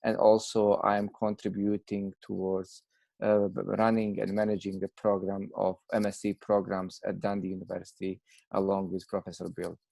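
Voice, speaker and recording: A man's voice: sits low, monotone, moderate pace, vocal fry, mellow, dry recording. A man in his thirties with a Bosnian accent, giving explanatory answers as an academic in an interview. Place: indoors, video call.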